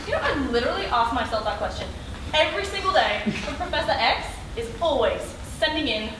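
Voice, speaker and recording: A woman's voice: sits high, animated, a little fast. A woman playing a nervous, gushing, smitten superhero in a comic stage play, awkward and flustered. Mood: admiring. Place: stage in a hall, filmed from the audience. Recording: echoey noisy hall from far off.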